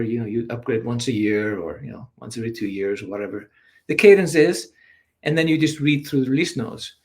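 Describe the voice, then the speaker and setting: A man from Iceland whose first language is Icelandic chats as a guest on a show. His voice sits at an average male pitch, and his style is informal and conversational. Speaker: interview guest. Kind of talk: casual chat.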